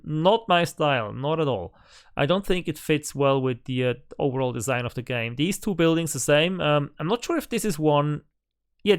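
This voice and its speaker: A man's voice: fairly high pitch, a bit bright, clean tone. A man who is a native German speaker, hosting casual game news commentary from home on a desk microphone, thinking aloud with lots of fillers and restarts. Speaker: gaming channel host.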